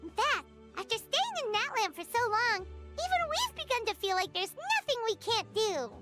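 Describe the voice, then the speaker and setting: A woman voicing a tiny, excitable female fairy companion in a video game who chirps and refers to herself by name. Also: very high-pitched, normal speed, loud music behind the voice. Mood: cheerful.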